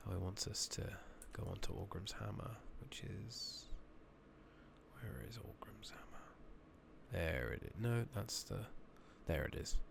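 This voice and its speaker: Male ASMR gamer, very low, heavily creaky, soft-spoken murmur, casual and sweary, noisy home recording. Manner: casual, swearing, muttering to himself. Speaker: ASMR gaming streamer. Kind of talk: soft-spoken ASMR gameplay commentary with whispering. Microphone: makeshift rigged-up close microphone. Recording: noisy home mic with clicking.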